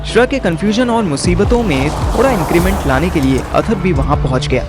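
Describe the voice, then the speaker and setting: A man's voice: high voice, expressive, a little fast. A man with an Indian accent narrating a scripted audio story in a wry, colloquial way. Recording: music behind the voice.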